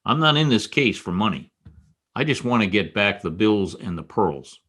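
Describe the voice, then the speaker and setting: A man plays a hard-boiled private eye in a radio detective drama. His voice sits fairly low, with a wry, knowing delivery and a dogged streak. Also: sing-song, moderate pace, a bit bright. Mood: persuasive, businesslike. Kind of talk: old-time radio detective drama re-creation.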